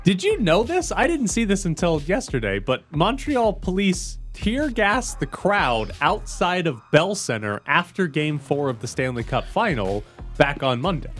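A man's voice: fairly high pitch, very animated, a little slow, a bit bright, faint music behind. A man co-hosting a sports talk show, reading news items and chatting about them in a dry, casual way.

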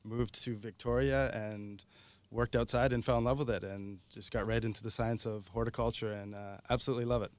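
A man's voice: fairly low pitch, steady tone, fairly quick. A man with a Canadian accent answering conversationally as a landscaper guest on a radio show. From Ontario, Canada. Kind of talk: conversational interview.

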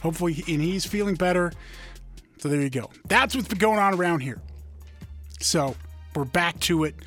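Hoarse rambling radio host, a man. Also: fairly high pitch, normal speed, a bit bright, faint music behind. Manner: rambling, filler-heavy, self-deprecating. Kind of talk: casual radio chat.

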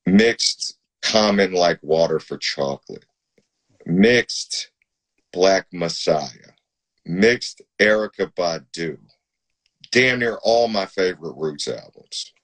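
A man's voice: low voice, expressive, slow, a bit bright. A man hosting a casual live-stream interview, talking in an admiring, emphatic way. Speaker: host.

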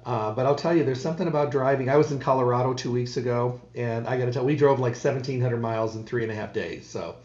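A man's voice: mid-range voice, steady tone, fast talker, few pauses, a little mellow, roomy sound, highs cut off. Folksy rambling male radio host. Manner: rambling, folksy, many restarts. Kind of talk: casual radio chat.

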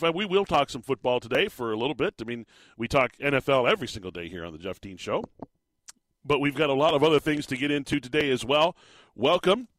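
A man hosting a local morning sports talk radio show, chatty and rambling with fillers, his voice a little raspy. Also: mid-range voice, a bit bright, no room sound. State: slightly raspy voice.

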